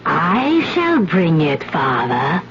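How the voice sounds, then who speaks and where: An actress playing a sinister, commanding older peasant woman in a 1970s radio drama, speaking in a hushed, deliberate, dramatic way. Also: deep voice, wildly swooping pitch, noisy background, heavily acted. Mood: deferential. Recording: dull 1970s radio, music underneath.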